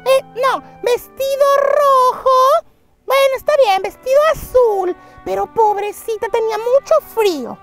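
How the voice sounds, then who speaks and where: A performer voicing a vain, sassy pink girl cat in a children's show, theatrical and quick to interrupt. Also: very high voice. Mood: playful. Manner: theatrical, interrupting, drawn-out boasting. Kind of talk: children's show character acting. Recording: music behind the voice.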